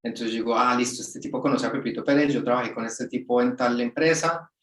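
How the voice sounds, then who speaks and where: A man, a guest on a webinar, talking in a relaxed, colloquial way over a video call. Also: mid-range voice, fast talker. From Colombia. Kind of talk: casual webinar interview. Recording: roomy sound from off the mic.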